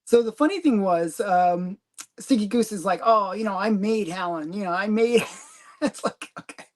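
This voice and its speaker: A woman's voice: mid-range voice, moderate pace. A woman hosting a casual comic collecting livestream, chatty and rambling, giggling often. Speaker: host of a comic collecting channel.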